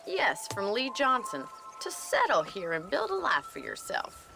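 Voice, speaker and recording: A woman's voice: on the high side, huge pitch swings, unhurried, creaky, over music, full character voice. A woman playing a plucky, wry young rancher with a teasing, forthright manner in a western video game. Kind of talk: video game character acting.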